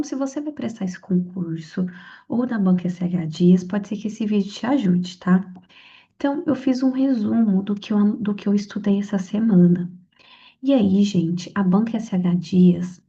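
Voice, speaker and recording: A young Brazilian woman, hoarse from a cold, chatting through her exam study notes with lots of fillers and restarts. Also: medium pitch, mellow, dull audio. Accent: Brazilian Portuguese. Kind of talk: casual study lesson to camera.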